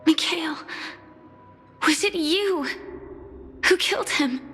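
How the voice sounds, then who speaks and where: A gentle young heroine from a video game, earnest and direct. Her voice sits high and carries long reverb over background music. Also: slow pace, exaggerated voice. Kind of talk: scripted game voice acting.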